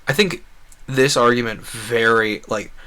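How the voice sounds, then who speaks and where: A man with a bright, forceful voice talks as a livestream host in a casual, rambling way. He is earnest and opinionated, often slipping into imagined quotes to make a point. His pitch sits in an average male range. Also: steady tone, unhurried.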